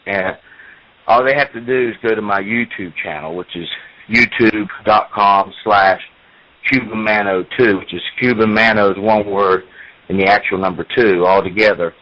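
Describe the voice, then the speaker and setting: A man's voice: fairly low pitch, moderate pace, muffled recording. A man in his forties, a professional wrestler, talking at length in an interview, repeating himself as he tells his story. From United States. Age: 40s.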